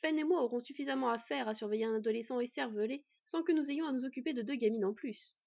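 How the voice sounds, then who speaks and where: A woman's voice: on the high side, fast talker, vocal fry, darkish tone, no room sound, highs cut off. A woman voicing a stern elf, an elder brother, who speaks in a curt, dismissive way.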